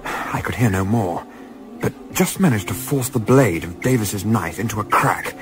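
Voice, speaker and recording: An actor playing an observant, composed gentleman adventurer in a radio play, speaking in a measured, articulate, understated way. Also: on the low side, lively intonation, music in the background, natural voice. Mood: tense recounting. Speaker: lead actor narrating and playing a part. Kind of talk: radio play narration and dialogue.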